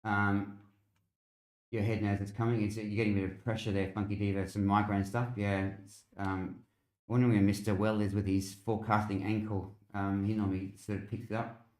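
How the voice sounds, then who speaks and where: A low-pitched Australian man speaks in a noticeably roomy, echoing space. He hosts a live weather commentary in an informal, conversational way, explaining as he goes and answering the chat. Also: level tone, fairly quick. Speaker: weather livestream host.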